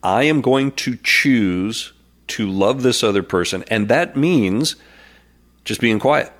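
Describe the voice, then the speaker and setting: A man's voice: mid-range voice, expressive, slow, a bit bright. A man in his forties, nasal from a cold, co-hosting a casual faith-based chat in an earnest, playful way. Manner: earnest, playful, slips into mock voices. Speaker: podcast co-host.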